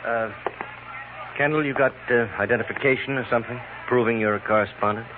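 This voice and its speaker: A man playing a suspicious, gruff business associate in a 1950s radio drama. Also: mid-range voice, fairly even intonation, brisk, close to an everyday voice. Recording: narrow, noisy vintage radio.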